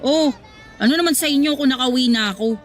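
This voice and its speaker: A woman's voice: high-pitched, fast, natural voice. A woman playing a feisty, cheeky young adult, fiercely loyal to family, in a radio drama. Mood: defiant. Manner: sassy, teasing back-talk. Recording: music underneath.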